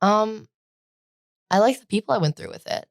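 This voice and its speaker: A teenage girl co-hosting a podcast in casual chat, talking loudly and rambling with lots of "like" fillers. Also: medium pitch, normal speed, dry recording, clean studio sound. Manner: loud, projecting, rambling with many "like" fillers. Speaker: podcast co-host.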